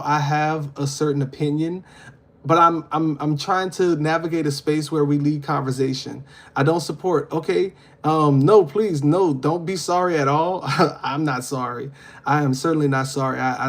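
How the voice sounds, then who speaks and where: A man's voice: fairly high pitch, fairly even intonation, moderate pace. A man from hosting a casual livestream, reading viewer comments aloud and answering them with frequent ums and uhs. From Louisiana. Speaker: livestream host.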